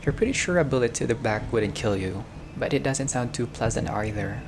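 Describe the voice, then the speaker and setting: A man's voice: medium pitch, brisk, background noise. A man doing a game playthrough, reading narration aloud and dropping in casual reactions. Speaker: gamer playing a visual novel.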